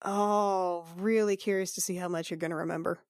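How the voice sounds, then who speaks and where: A woman talking casually as a tabletop game player, slipping into roleplay in a halting, wry, sweary way. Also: fairly low pitch, fairly even intonation, no echo.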